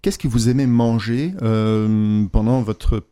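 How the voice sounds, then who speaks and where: Mellow-voiced playful male radio host. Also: on the low side, steady tone, takes it slowly, clear, resonant tone. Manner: playful, mock-earnest. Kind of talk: playful comedy radio interview.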